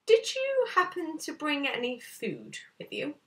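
A woman's voice: high-pitched, huge pitch swings, unhurried, voice pushed up, exaggerated voice. Woman reading as a breezy, unflappable storybook bear. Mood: breezy, reassuring. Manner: breezy, waffling, politely reassuring. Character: unflappable, evasive, cheerful male bear boatman. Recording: slightly distant camera microphone.

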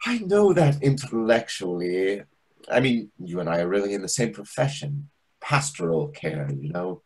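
Chatty needy waiter, husky voice, swooping pitch, confessional, self-pitying, stage comedy on video call. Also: male voice, big pitch swings, normal speed, full character voice. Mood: complaining. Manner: confessional, complaining. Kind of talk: readers theatre over video call.

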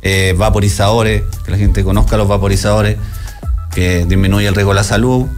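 A man's voice: fairly low pitch, steady tone, brisk, soft background music. A man in his thirties with a Chilean accent, explaining an event as a studio guest. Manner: explanatory, hesitant with fillers and restarts. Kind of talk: radio interview. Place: small radio studio. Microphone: studio mic with headphones.

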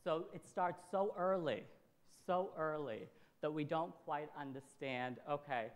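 A man's voice: on the high side, measured pace. A man in his thirties from Syria giving a motivational talk, emphatic, repeating phrases and checking in with short tags. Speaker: workshop speaker. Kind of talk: motivational presentation to an audience. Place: indoor event space, presenting in front of a backdrop.

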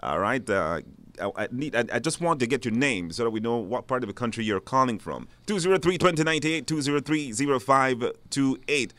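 A man's voice: mid-range voice, expressive, a little fast, a bit bright, no echo. A man with a Belizean accent hosting a live radio call-in show, putting questions to callers. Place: radio studio.

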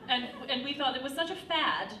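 A woman's voice: sits high, animated, a little fast, bright, forceful tone, a little hiss or hum. A young woman at a stage mic, chirping agreeing asides and giggly comedic banter before a seated audience. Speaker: comedy singer. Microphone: stand mic, recorded by far camera. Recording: echoey live room from far off. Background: piano playing.

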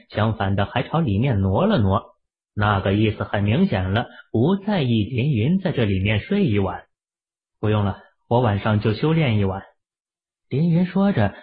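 A man narrating a novel as an audiobook in an expressive storytelling manner. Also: fairly low pitch, breathy edge. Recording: tinny phone-like audio.

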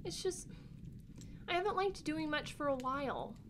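A woman playing a sensitive, excitable young girl, a grieving little sister, in a family audio drama, with a childlike, openly emotional manner. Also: high-pitched, normal speed, slight background noise. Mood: sad, confiding.

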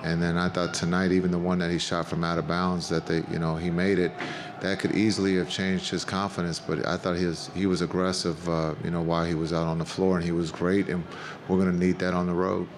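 A middle-aged coach speaks into a table microphone at a press conference. His voice is deep and flat, measured and plain, with frequent fillers. Noticeable noise from the press room sits underneath. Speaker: head coach. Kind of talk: postgame press conference answers.

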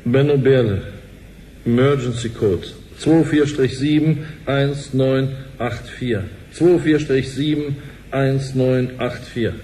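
A man's voice: mid-range voice, steady tone, slow pace, mellow, slight background noise, natural voice, rough recording. A man playing a grave, determined executive in a radio drama. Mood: firm. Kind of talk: dramatic radio play acting.

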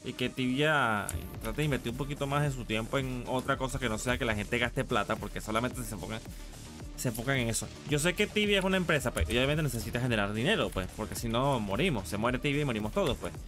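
A man's voice: mid-range voice, quick pace. A man chatting casually on a live game stream, slangy and sweary as he gripes like a regular player. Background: music.

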